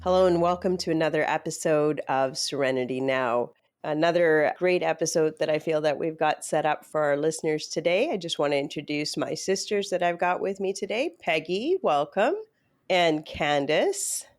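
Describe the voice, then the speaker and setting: Woman podcast host, low voice, friendly, a bit rambling. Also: normal speed. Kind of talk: casual podcast hosting.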